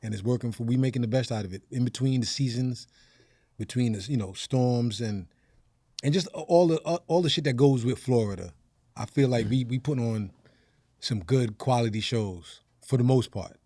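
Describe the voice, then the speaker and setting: An American man, a stand-up comedian guesting on a podcast, talking casually in slang and thinking out loud. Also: medium pitch, normal speed, no room sound, studio quality.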